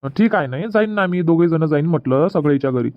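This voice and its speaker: A man's voice: fairly high pitch, expressive, quick pace, no room sound, muffled recording, lightly acted. A man voicing a young husband in a comedy cartoon, explaining plans at length with a Varhadi Marathi accent. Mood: reassuring. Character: young husband Suraj, easygoing son.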